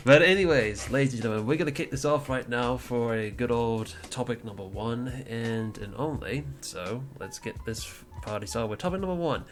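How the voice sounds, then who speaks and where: A man's voice: medium pitch, lively intonation, moderate pace, pushed and bright, faint music behind. A man hosting a casual livestream podcast, rambling and teasing as he introduces his friends. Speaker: podcast host.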